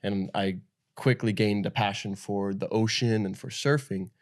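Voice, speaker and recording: A young man from Denmark, a guest in an interview, telling his story in a relaxed, loose way with lots of fillers. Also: lowish voice, moderate pace. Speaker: guest, pro paddle surfer. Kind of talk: casual interview talk.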